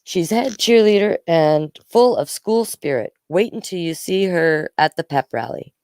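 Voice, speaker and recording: A storyteller as an encouraging, clear-spoken teacher. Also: medium pitch, lively intonation, unhurried, darkish tone, no echo, voice pushed up. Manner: approving, businesslike. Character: encouraging, brisk school newspaper adviser, teacher.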